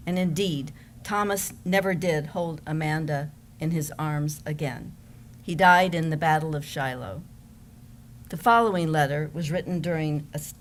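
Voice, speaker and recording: A woman's voice: deep voice, slight background noise. A woman reading scripted narration as the narrator of a church readers theater program. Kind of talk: scripted narration to a live gathering.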